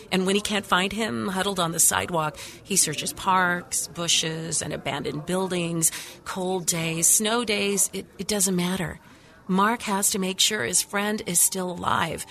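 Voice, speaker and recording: A woman's voice: on the low side, gravelly, pushed and bright, exaggerated voice. An actress playing a determined, caring and persistent female news reporter in a radio drama. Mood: earnest, worried. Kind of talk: scripted radio drama acting.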